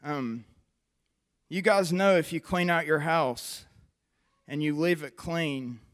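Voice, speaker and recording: A young man with a West Virginia accent shares his testimony before a church crowd. His voice sits a little high for a man, and he talks in an earnest, conversational way, often pausing with a soft "um.". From West Virginia, USA. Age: 20s. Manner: earnest, conversational, frequent "um" pauses. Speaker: recovery program member giving testimony. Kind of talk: personal testimony to a church audience.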